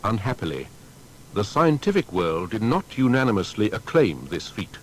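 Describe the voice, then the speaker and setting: A man's voice: on the low side, sing-song, moderate pace. A man narrating a scripted biographical documentary in a dramatic, emphatic storytelling manner.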